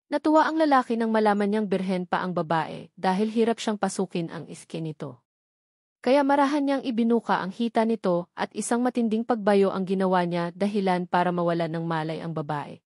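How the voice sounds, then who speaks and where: A woman narrates scripted fiction in a medium-pitched voice. The sound is close and dry, with very little room in it. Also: lively intonation, fairly quick, darkish tone, no echo.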